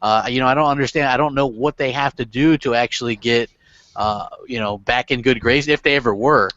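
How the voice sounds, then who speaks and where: A man's voice: medium pitch, a little fast, fairly bright tone, dry recording, dull audio. A man hosting a casual gaming podcast, rambling with lots of fillers and restarts and casual swearing. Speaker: podcast host.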